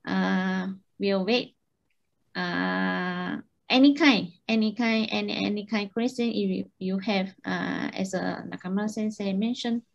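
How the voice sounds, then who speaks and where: A woman, a university lecturer, speaking haltingly with many fillers. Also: medium pitch, steady tone, creaky. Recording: distant, dull lo-fi audio.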